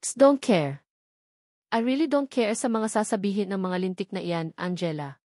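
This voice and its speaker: A woman's voice: mid-range voice, moderate pace, a little mellow, natural voice. A woman acts out a rich Filipino man in love, serious, possessive and wounded. He speaks intensely, as in a romance audiobook. The recording is dry and without room echo. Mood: earnest, insistent.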